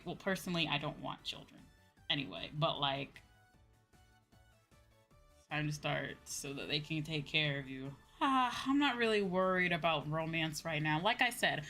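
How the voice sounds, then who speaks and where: An American woman chatting casually to viewers as a livestream host, animated and repeating phrases for emphasis. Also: sits low, moderate pace, faint music behind. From United States.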